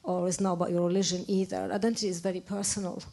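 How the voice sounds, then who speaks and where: A woman with a fairly low voice offers her view at a public discussion. She argues her point firmly in phrasing, restarting and adjusting sentences as she speaks. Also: flat delivery, rapid-fire, dark tone. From Lebanon. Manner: opinionated, self-correcting, non-native phrasing. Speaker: audience member, architect. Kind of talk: spontaneous comment at a public discussion. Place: arts centre event room.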